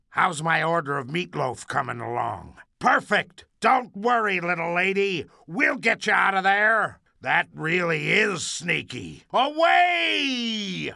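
An actor playing a forgetful, doddering elderly superhero, rambling absent-mindedly and bursting into heroic shouts. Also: male voice, sits high, gravelly, dry recording, clean studio sound, heavily acted. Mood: heroic shouting. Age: older. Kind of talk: video game voice clips.

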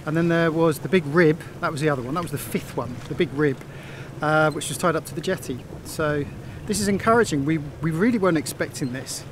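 A middle-aged man chats in a rambling, easygoing way, with plenty of fillers, while filming himself at arm's length on the deck of a sailboat. His voice sits a little high for a man. Wind and sea noise come through clearly around him. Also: brisk. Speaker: sailing vlogger. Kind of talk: casual vlog narration. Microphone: phone held at arm's length. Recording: wind and water noise outdoors. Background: wind, waves and boat noise.

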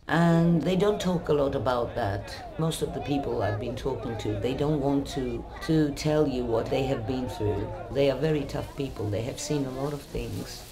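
A woman's voice: rumbling low, normal speed, few pauses. A woman volunteer speaking earnestly and seriously in an interview. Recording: outdoors with crowd noise.

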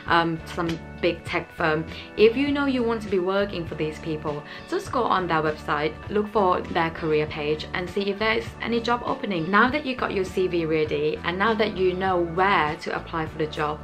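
A young woman speaks to camera in a small room at home, earnest and explanatory. Her voice sits a touch low for a woman, and soft music plays faintly beneath. Her English carries small grammar slips. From Thailand. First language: Thai. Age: young adult. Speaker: channel host. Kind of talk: scripted advice vlog to camera. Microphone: camera framing, head and shoulders.

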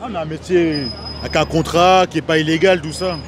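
A man's voice: a little high, steady tone, a little fast. Teasing man interviewing on a busy street. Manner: teasing, laughs often. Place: outdoor city square. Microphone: handheld mic. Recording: busy street crowd noise. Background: passing crowd.

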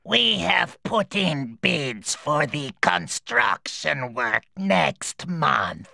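A creaky, oily scheming merchant. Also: male voice, a little high, very animated, extremely slow, pushed and bright, dry recording, clean studio sound, heavily acted. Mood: ingratiating. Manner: obsequious. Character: oily, scheming male merchant, flattering and greedy. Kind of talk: video game cutscene acting.

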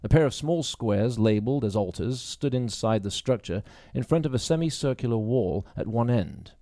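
A man narrates a story in a mellow, relaxed voice. He reads as an actor telling a tale, recorded close and dry with almost no room sound. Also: mid-range voice, moderate pace, no echo. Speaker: audiobook reader, local actor.

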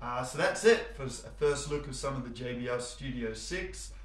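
A man speaks at an average pitch, presenting a product in an informal, enthusiastic way. He explains things casually, as a showroom host would. The recording is echoey, with the sound of a reverberant indoor room around his voice. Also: lively intonation, moderate pace. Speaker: home cinema showroom presenter. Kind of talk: casual product unboxing commentary.

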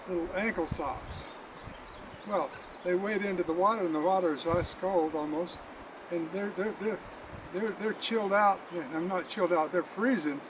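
An older man giving a casual how-to demonstration as a fishing guide, folksy and halting, often repeating words, recorded outdoors from a few metres away. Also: sits high. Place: outdoors at a roadside in the country, by a car. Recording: clear outdoor background noise.

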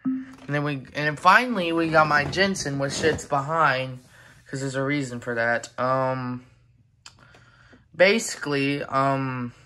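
Someone doing a casual halting show and tell at home on a phone, bit of noise and clicking. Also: medium pitch, fairly even intonation, bright, forceful tone. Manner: informal, halting, off-the-cuff. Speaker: collector presenting weather radios. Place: indoors in a home room. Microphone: handheld phone camera. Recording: noisy handheld recording with clicks.

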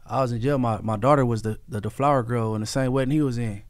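A man's voice: medium pitch, fairly even intonation, quick pace, dry recording. A man in his thirties from California, a podcast guest telling stories casually with heavy slang and frequent check-in tags, close on a studio mic. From Inglewood, California. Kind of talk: casual street storytelling. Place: small soundproofed podcast studio. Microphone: close studio podcast microphone.

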